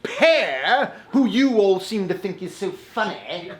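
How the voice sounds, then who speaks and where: A man's voice: high-pitched, very animated, takes it slowly, crowd noise, heavily acted. A man playing a pompous, suspicious wartime government speaker who lectures the audience on a live stage. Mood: preachy. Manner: pompous, lecturing, stumbling for words. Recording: echoey hall, live audience laughing.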